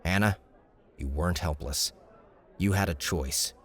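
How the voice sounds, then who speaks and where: A man's voice: deep voice, unhurried, fairly bright tone. A man voicing a shrewd, smug young noble in an audiobook, speaking in a glib, formal, commanding way. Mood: measured, charming. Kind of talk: audiobook character dialogue.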